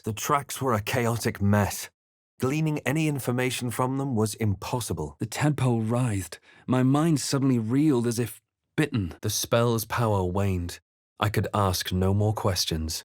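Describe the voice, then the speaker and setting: An actor playing a brooding male fantasy adventurer who recounts his journey in a grave, measured, theatrical way for a video game. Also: medium pitch, no room sound, studio quality, natural voice. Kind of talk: scripted dramatic narration and game character barks.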